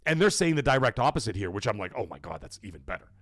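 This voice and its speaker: A man hosting a casual gaming live stream, rambling excitedly with fillers and restarts, greeting chat viewers and swearing casually. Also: lowish voice, fast, no room sound. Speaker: livestream podcast host.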